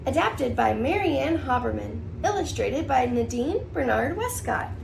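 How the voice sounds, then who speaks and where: Female preschool teacher, read-aloud storyteller: high, slightly creaky, sing-song, recorded at a distance in an echoey space. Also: normal speed. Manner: sing-song, expressive, rhythmic reading. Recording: distant mic, roomy echo, faint noise.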